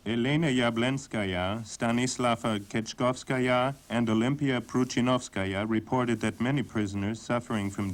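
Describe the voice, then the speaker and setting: A man reading scripted documentary narration in a measured, sober way. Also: mid-range voice. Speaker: documentary narrator and voice-over translator.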